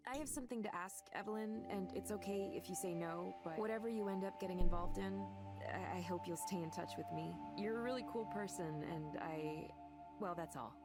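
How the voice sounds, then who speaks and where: A woman's voice: medium pitch, brisk, natural voice. An actress playing an earnest, supportive, slightly shy woman in a visual novel, speaking sincerely and a little hesitantly. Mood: hesitant, heartfelt. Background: music.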